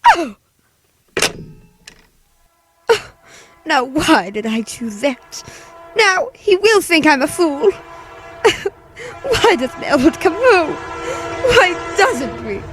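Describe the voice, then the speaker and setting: A woman's voice: high-pitched, big pitch swings, slow, music in the background, exaggerated voice. A woman playing an anxious, demanding bedridden wife in 1940s radio play style, with fretful, stammering, melodramatic delivery. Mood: fretful, desperate.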